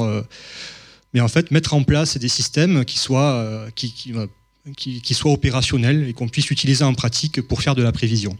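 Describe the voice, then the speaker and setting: A man from southern France giving a public mathematics lecture, hesitant, with many fillers and restarts. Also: mid-range voice.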